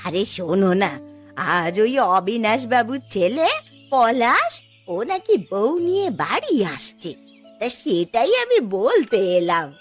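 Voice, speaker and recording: A woman's voice: on the high side, big pitch swings, slow, faint music behind. A woman voicing a spiteful, gossiping older village aunt in a cartoon, gloating and scolding. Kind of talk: Bengali cartoon voice acting.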